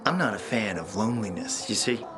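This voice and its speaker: A sardonic, mocking man, played by an actor, with a mid-pitched voice that drips sarcasm. Clear music plays behind him, as in a game cutscene. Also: lively intonation, brisk, lightly acted. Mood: sarcastic. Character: mocking, sardonic male companion.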